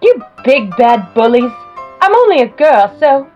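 A woman's voice: high voice, big pitch swings, a little slow, lo-fi sound, faint music behind. A woman playing a sweet, wide-eyed girl superhero in an amateur comic-book radio play, dainty and exclamatory. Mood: wide-eyed, pleased.

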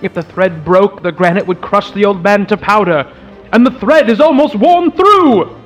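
A man's voice: high-pitched, lively intonation, moderate pace, fairly bright tone, soft background music. A man narrating a dramatic old-time radio serial in a theatrical announcer's manner. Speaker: podcast host and narrator.

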